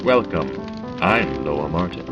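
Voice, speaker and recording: A man acts out an ominous radio mystery host in the 1930s style. His theatrical delivery swings widely in pitch. Loud music runs behind the muffled, distant recording. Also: animated, slow pace, exaggerated voice. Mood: ominous, inviting. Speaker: host and narrator of an audio drama. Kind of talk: 1930s-40s radio drama announcing.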